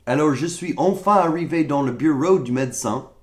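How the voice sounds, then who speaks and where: A young American man with a fairly high voice, speaking French with a clear American accent. He rants to the camera in an exasperated, sarcastic way about being sick and coughing, and the room around him rings with echo. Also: normal speed. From United States. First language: English. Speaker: vlogger. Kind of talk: comedic rant to camera. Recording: echoey room.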